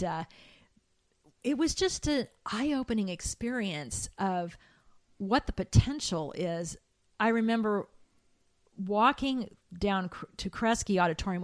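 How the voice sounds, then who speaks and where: A middle-aged woman, a trained soprano, recalling her past in an animated way, with fillers and restarts. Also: dry recording, studio quality. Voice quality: high soprano singer. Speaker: guest. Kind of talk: conversational interview.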